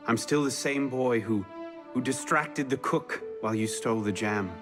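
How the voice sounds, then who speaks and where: An actor playing an earnest, loyal young assassin in a dramatic video game, speaking in an understated way. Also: male voice, mid-range voice, moderate pace, music in the background, natural voice. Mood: earnest, pleading. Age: young adult.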